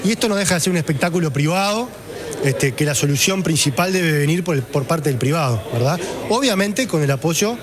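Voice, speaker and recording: A man, a politician giving a statement, quick with filler pauses. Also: a little high, animated, a crowd in the background. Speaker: politician, former minister. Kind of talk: press statement.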